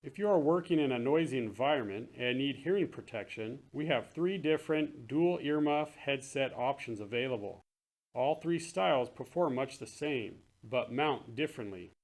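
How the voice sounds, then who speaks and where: A man with a mid-pitched voice presents products for a promotional video. He speaks as a straightforward company presenter, explaining things plainly. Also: lively intonation, moderate pace. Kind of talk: scripted product narration, later casual demo chat.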